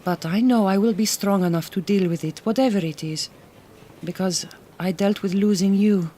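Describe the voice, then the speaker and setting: A woman's voice: medium pitch, moderate pace, warm tone, slight background noise, lightly acted. A woman playing a resolute, tender Hungarian migrant worker in a radio drama, with a Hungarian accent and careful, formal phrasing. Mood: tender, bittersweet. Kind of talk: naturalistic radio drama acting.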